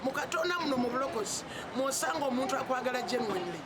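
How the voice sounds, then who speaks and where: Very fast, high-voiced Ugandan woman. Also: animated, heavy background noise. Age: 50s. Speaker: pastor. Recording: loud music and crowd behind.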